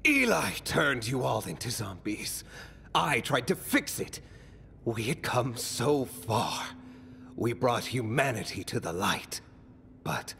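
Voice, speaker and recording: A man playing a weary, remorseful fallen mastermind in a video game, speaking gravely and haltingly with breathy trailing phrases. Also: medium pitch, fairly bright tone, a little hiss or hum, full character voice. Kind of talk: dramatic video game monologue.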